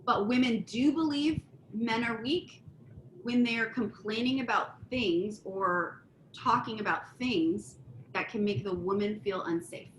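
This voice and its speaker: A woman's voice: a little high, a little slow, slight background noise. Earnest woman co-host explaining at length. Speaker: podcast co-host. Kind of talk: casual chat. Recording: echoey room, faint ambience.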